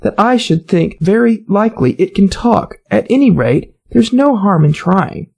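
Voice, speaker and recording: A little storybook girl acted out by a man, polite and chatty, easily flustered. The voice is pitched high and swoops about in an earnest, wordy way. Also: expressive, moderate pace, creaky, a little mellow, slightly rough, exaggerated voice. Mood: curious, hopeful. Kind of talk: storybook character voice.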